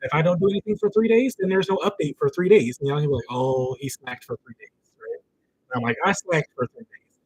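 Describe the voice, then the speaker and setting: A man with a raspy voice from a cold, hosting a casual livestream about his notary business and talking straight to his community in slangy, animated chat. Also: on the high side, brisk, low-quality recording.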